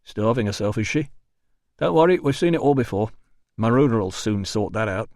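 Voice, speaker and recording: A man voicing a gruff, offhand tavern doorman who talks brusquely and dismissively. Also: on the low side, lively intonation, normal speed, a little mellow, no room sound, clean studio sound.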